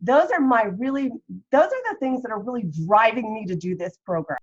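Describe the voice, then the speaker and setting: A woman's voice: fairly high pitch, expressive. Earnest fifty-one-year-old woman on a muffled call. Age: 50s. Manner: earnest, halting, with many fillers. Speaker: program participant. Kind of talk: personal testimony on a group call.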